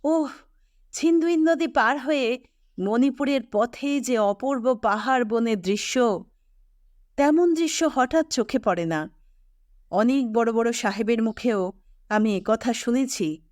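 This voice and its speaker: A woman voicing an excitable, easily amazed young male engineer in an audio story, exclaiming with wonder. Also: on the high side, takes it slowly, long pauses, no echo, clean studio sound. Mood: admiring.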